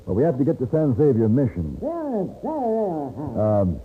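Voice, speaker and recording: An actor playing a level-headed, courteous western gunfighter in an old-time radio drama, measured and dryly wry. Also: male voice, mid-range voice, big pitch swings, close to an everyday voice. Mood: placating, firm. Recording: noisy, narrow-band old radio recording.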